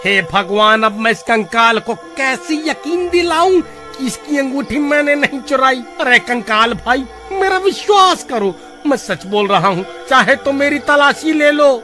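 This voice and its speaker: Man playing a shrill, high-pitched hapless villager. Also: expressive, measured pace, hardly any pauses, bright, forceful tone, exaggerated voice. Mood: panicked pleading. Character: hapless, excitable village man, pleading and irritable. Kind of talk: Hindi horror cartoon acting. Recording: music under the voice.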